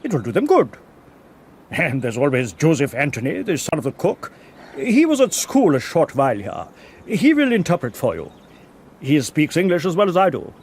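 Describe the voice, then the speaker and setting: A jovial old general. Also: male voice, fairly high pitch, huge pitch swings, moderate pace, a little mellow, slightly rough, heavily acted. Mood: genial. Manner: chuckling, jovial, grandly courteous. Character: older Himalayan general, genial, proud. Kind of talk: radio drama acting.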